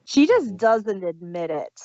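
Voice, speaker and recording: A woman's voice: mid-range voice, very animated, slow, warm tone, no room sound. A woman co-hosting a casual review chat, on a dull lo-fi recording. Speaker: podcast co-host reviewing audio dramas.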